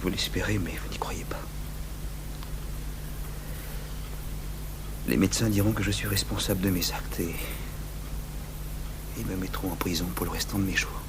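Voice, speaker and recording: An actor in a French radio drama playing a tormented, remorseful man who confesses his murderous compulsion in an anguished, halting way. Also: low voice, fairly even intonation. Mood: remorseful, broken. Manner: anguished, halting, swinging from outbursts to hushed murmurs. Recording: noisy, humming 1980s radio broadcast tape.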